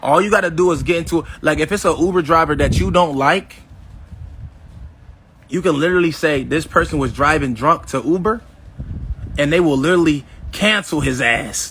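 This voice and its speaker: A man's voice: on the high side, noisy background. A man in his fifties talking casually to his viewers, boasting in a swaggering way and acting out old conversations. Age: 50s. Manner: swaggering, addresses viewers directly as y'all; acts out past conversations in quotes. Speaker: vlogger. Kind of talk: casual boastful livestream talk.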